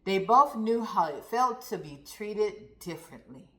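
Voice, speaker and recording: A woman reading a story aloud to children in an expressive, deliberate manner, speaking directly to young listeners. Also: medium pitch. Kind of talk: read-aloud storytime narration. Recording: roomy, echoey sound.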